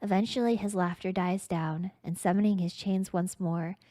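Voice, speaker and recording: A woman's voice: on the low side, fairly even intonation, normal speed, warm tone, no echo, studio quality. A woman streamer reading a story aloud in a theatrical, mock-grandiose, dramatic narrating style.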